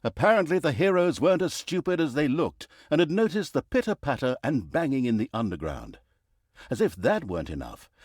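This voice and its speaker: Sardonic, wildly swooping fantasy game narrator. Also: male voice, on the high side, a little mellow, husky, no echo, studio quality, heavily acted. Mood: sardonic aside. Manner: mock-dramatic, wry asides. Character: sardonic, theatrical male narrator of a villainous fantasy tale. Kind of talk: scripted comic storybook narration.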